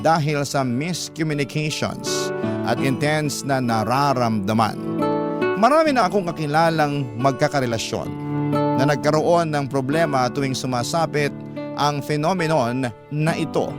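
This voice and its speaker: A man's voice: a little high, animated, normal speed. A man with a Filipino accent narrating a scripted first-person story as a radio host, in classic radio style. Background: plucked guitar music.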